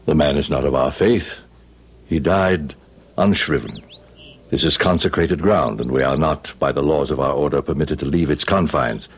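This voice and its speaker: An actor playing a grave, pious Father Superior in an old-time radio drama, speaking in a solemn, measured, formal way. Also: very deep voice. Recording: dull, hissy vintage radio recording.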